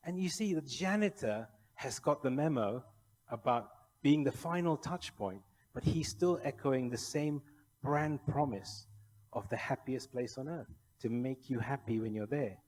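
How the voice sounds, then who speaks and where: Male conference presenter from Malaysia, soft-edged warm tone, persuasive and rhetorical, recorded in an echoing hall. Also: mid-range voice, a little slow. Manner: persuasive, rhetorical questions to the audience. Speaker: conference speaker, kennel federation official. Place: large indoor conference hall. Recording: echoey hall with long reverb.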